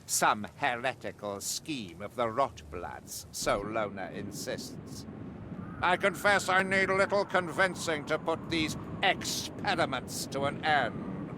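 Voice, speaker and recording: A man's voice: on the high side, pushed and bright, slight background noise, heavily acted. A male actor playing a stern, fanatical witch hunter in a video game, declaiming in pompous, archaic, theatrical speech. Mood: grim, brooding.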